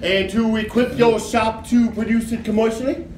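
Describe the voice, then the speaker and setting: A man on stage playing a sly, bombastic prosecutor with sneering, drawn-out courtroom oratory. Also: high-pitched, steady tone, a little mellow, lightly acted. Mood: sly, probing. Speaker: actor in a stage play. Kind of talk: stage adaptation of a 1950s radio drama. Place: live theatre stage. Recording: echoey theatre with background noise.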